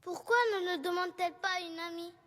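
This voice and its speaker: A performer voicing a tiny, bold, curious boy in an animated film, earnest and plain-spoken in the way of asking. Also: very high voice, quick pace, big-room sound. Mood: determined.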